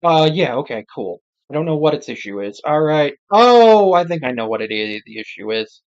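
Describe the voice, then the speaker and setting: An amateur commentator giving casual live football play-by-play, with muttered asides and self-corrections, sex not clear from the voice. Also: medium pitch, lively intonation, fairly quick, highs cut off.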